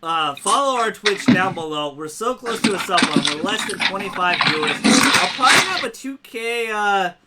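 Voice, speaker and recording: A man hosting a casual gaming podcast, excitable and quick to laugh. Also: sits high, heavy background noise. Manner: excitable, laughs often, talks fast in bursts. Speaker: podcast host. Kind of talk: casual chat.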